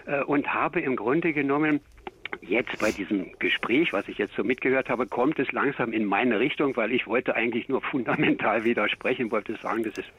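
A man's voice: mid-range voice, moderate pace, slightly rough. A man in his seventies from Berlin, calling in to a radio show and talking frankly about himself at length. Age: 70s. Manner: talkative, frank, thinking aloud. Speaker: caller. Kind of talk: phone-in radio call. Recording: dull lo-fi phone line.

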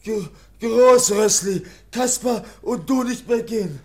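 An actor playing a childlike young foundling who barely speaks, halting and repeating single words in broken speech in a radio play. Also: male voice, very high-pitched, full character voice. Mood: excited, halting. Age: young adult. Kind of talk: German radio play acting.

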